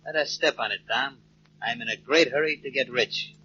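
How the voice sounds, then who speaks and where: An actor playing a cold, greedy, menacing gang boss in 1940s radio crime drama. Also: male voice, medium pitch, normal speed, very noisy, natural voice. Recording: dull, lo-fi old radio recording.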